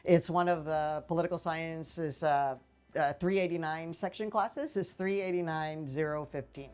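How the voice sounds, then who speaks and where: Low-voiced woman professor from the Philippines. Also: normal speed, a little mellow, clean tone. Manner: thoughtful, with frequent fillers and restarts. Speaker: political science professor. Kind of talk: faculty interview answers. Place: small office with bookshelves, indoors. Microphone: lapel mic.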